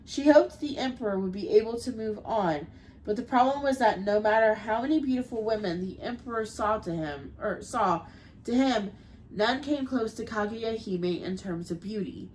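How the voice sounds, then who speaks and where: A young woman with a cold reads a folk tale for a podcast, stumbling and restarting now and then. Her voice sits a bit high, with faint noise underneath. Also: normal speed, a little mellow, poor audio. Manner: reads with small slips and restarts. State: has a cold. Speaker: podcast co-host. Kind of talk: reading a folk tale aloud, then casual chat.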